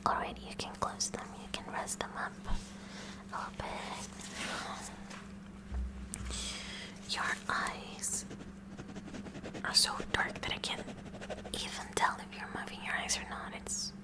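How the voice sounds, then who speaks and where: A woman voicing a kooky, curious high school science club president in a slow, repetitive, instructing ASMR roleplay. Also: lightly acted.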